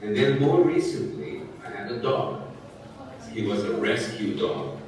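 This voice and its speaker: A Brazilian man in his sixties, a native Portuguese speaker, talking slowly and clearly in English to students, often checking that he is understood. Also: fairly low pitch, animated, darkish tone. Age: 60s. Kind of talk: talking to students in a language class. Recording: echoey, distant room sound.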